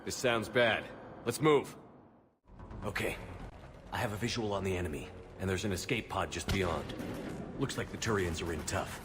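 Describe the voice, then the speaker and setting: A man's voice: low-pitched, moderate pace, long pauses, noisy background, natural voice. A man playing a tough, commanding space marine in a video game, giving clipped, decisive military orders. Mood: focused, stern. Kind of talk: video game cutscene acting.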